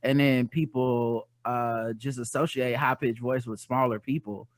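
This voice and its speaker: A man with a high-pitched voice chatting casually and wryly as a guest podcaster. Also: fairly even intonation, measured pace, no room sound. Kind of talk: casual humorous chat.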